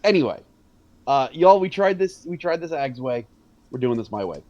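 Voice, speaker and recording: A man's voice: medium pitch, sing-song, normal speed, a little mellow, no echo, highs cut off. A man chatting as a player in a tabletop role-playing game, hesitant and full of restarts. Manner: hesitant, restarts, apologetic about rules. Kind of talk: casual tabletop game chat.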